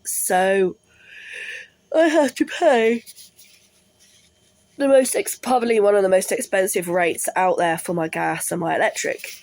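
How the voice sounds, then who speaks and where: Slow, gravelly woman with a cold. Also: mid-range voice, darkish tone, no room sound. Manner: apologetic, rambling, many ums. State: congested with a cold. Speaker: vlogger. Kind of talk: casual talk to camera. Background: washing machine running.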